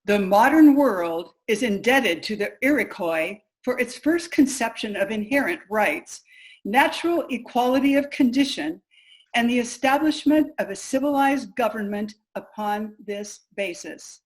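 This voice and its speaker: A woman's voice: on the high side, animated, measured pace, low-quality recording. A woman playing a firm nineteenth-century women's rights campaigner in a formal staged reading. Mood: firm, assured. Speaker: reader in a reader's theater.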